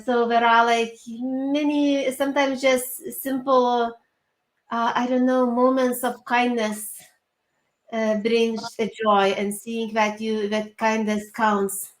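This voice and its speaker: A woman with a Lithuanian accent speaks in a fairly high, very even voice as an interview guest. She answers earnestly and haltingly, recorded in an echoey room. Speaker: interview guest, career coach. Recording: echoey room with background noise.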